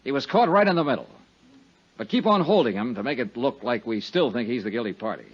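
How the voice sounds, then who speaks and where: A man's voice: mid-range voice, lively intonation, fast, husky. An American man playing a hard-boiled, brooding and self-assured insurance investigator in a 1950s radio detective drama. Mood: insistent. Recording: dull, muffled 1950s radio recording.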